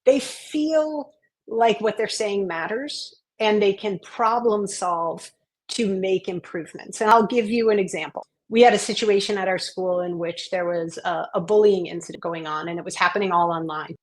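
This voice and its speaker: A woman guest on a podcast interview, from Maine, talking in an earnest, reflective way and growing animated as she tells stories. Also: medium pitch, normal speed, poor audio. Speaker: guest, education consultant and author.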